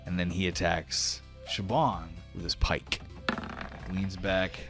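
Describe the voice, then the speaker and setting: A man's voice: deep voice, fairly even intonation, slow pace, a bit bright, soft background music. A man narrating as game master of a tabletop game, explaining rules plainly and easygoingly with some fillers.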